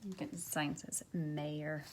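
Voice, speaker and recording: A middle-aged woman reading a picture book aloud to young listeners in an expressive, measured storytelling way. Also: sits low, slight background noise. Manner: expressive, measured storytelling with drawn-out phrases and softened asides. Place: small carpeted room at home, indoors. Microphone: phone at arm's length.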